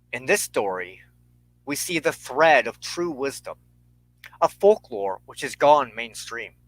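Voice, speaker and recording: A man hosting a spiritual talk in a solemn, sermon-like style, speaking in measured, formal and ceremonious phrases. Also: a little high, fairly bright tone, low-quality recording. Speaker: host of a weekly spiritual talk show.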